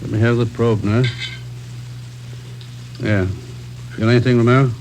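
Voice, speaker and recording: An actor playing a brisk, businesslike male doctor in a 1950s radio drama, giving crisp clinical orders. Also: mid-range voice, fairly even intonation, background noise, close to an everyday voice.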